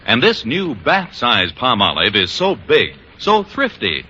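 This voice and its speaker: Punchy, very animated American radio announcer, male. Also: mid-range voice, a little slow, a little hiss or hum. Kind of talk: 1940s-1950s radio commercial reading. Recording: muffled old radio broadcast.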